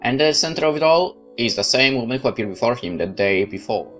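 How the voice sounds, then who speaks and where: A man narrates a horror visual novel aloud for viewers, at a middle pitch. He reads the text dramatically. He breaks off now and then for casual side remarks. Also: expressive, a little fast, a bit bright, soft background music. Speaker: let's play host.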